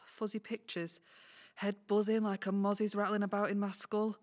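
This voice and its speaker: Woman acting groggy worried scottish woman, injured, earnest and full of questions, mid pitch, audio drama. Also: little pitch movement, normal speed, lightly acted. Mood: weary, subdued. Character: anxious, groggy injured woman pressing for answers. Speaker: community cast actor. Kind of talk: community audio drama, naturalistic dialogue.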